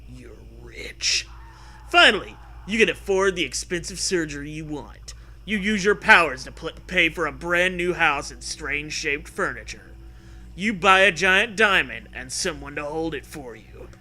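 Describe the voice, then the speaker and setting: A man's voice: on the high side, expressive, measured pace, bright, forceful tone. A man reading a comic adventure story aloud in a theatrical, amused way, slipping into casual joking. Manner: theatrical, amused, breaking into riffs and swearing. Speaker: host reading aloud a choose-your-own-adventure parody.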